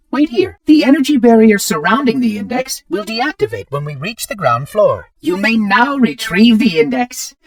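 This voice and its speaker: A man's voice: extremely high pitch, smooth, no echo, exaggerated voice. A man voicing a chipper, fussy male robot caretaker in a video game, speaking in a polite, formal, pedantic way, heard as distant game audio. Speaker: game character.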